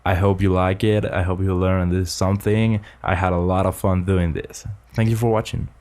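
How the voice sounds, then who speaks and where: A young man from Ecuador, earnestly explaining vocal recording tips in slightly halting English, close on a studio condenser mic in a small home studio. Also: low voice, little pitch movement, a little mellow. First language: Spanish. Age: young adult. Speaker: student making a tutorial. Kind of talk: casual spoken tutorial. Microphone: large-diaphragm condenser with pop filter, close.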